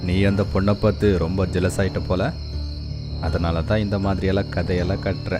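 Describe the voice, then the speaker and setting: A man's voice: low-pitched, little pitch movement, brisk, lightly acted. A man voicing a teasing, easygoing young husband in a cartoon. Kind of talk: Tamil cartoon dub. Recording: music bed behind.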